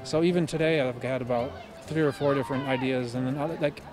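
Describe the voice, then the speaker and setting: Young male exhibitor, quick rambling answers, outdoor fair, music underneath. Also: medium pitch, steady tone. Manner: rambling, many fillers. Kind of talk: casual interview answers. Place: outdoors at a park art fair.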